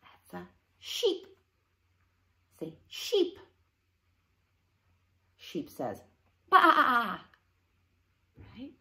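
A woman's voice: fairly high pitch, wildly swooping pitch, extremely slow, long pauses, sharp tone. A middle-aged woman leading an interactive read-along for young children, with an exaggerated sing-song delivery. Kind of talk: interactive picture-book reading for young children. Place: living room at home, indoors.

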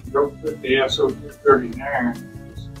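A man's voice: medium pitch, little pitch movement, moderate pace, fairly bright tone, soft background music. A man in the audience offering brief, clipped suggestions from the floor. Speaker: audience member. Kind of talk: question from the floor.

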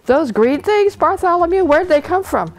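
A woman voicing a flustered, indignant male royal trumpeter in a storybook, exclaiming loudly. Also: very high voice, animated, normal speed, pitched above the performer's own voice. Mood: startled.

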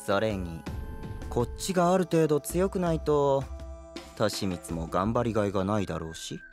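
Laid-back, teasing fighter, mid-pitched with lively lilt, anime drama over music. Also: male voice, moderate pace. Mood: easygoing. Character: easygoing, indulgent young warrior, lightly teasing.

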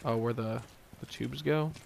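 A man's voice: mid-range voice, a little slow, gravelly, heavy background noise. A man doing casual let's play commentary on a video game, swearing and tossing out jokey asides to himself.

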